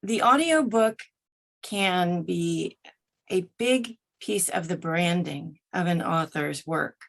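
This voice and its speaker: A woman who works as an audiobook narrator, giving conversational interview answers in deliberate, articulate phrases. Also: lowish voice, creaky, a bit bright.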